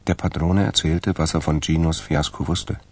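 Crime story narrator, super deep husky voice, dry and detached, radio drama style. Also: male voice, fairly even intonation, moderate pace. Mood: detached, calm. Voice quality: gravelly, husky.

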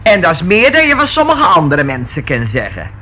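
A woman's voice: lowish voice, huge pitch swings, a little fast, pushed and bright, heavily acted. An actress playing a sardonic, unflustered and evasive hotel landlady in a radio play, sarcastically polite and defiant. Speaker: radio drama actor. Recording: noisy old radio drama recording.

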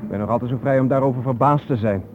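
An actor playing a sceptical, reluctant photographer in a radio play, with a colloquial, questioning manner. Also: male voice, mid-range voice, racing, lightly acted. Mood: bewildered, reluctant. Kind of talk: radio drama acting. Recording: noisy, thin phone-like old radio recording.

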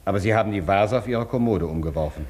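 A man playing a hotel porter in a radio play, formal and unbending. His voice sits fairly low, and he speaks with measured courtesy and an officious air. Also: darkish tone, lightly acted. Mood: formal, regretful. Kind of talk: German radio play acting.

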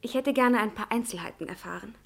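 A woman's voice: mid-range voice, fast, gravelly, husky. A woman acting in a German radio play as a polite, earnest young scholar who speaks precisely and with feeling.

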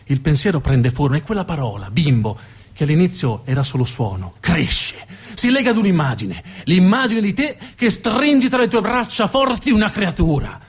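Husky, animated male theatre storyteller. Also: high voice. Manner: dramatic, emphatic repetitions and deliberate pauses. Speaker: stage narrator and actor. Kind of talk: theatrical narrative monologue. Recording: music behind the voice.

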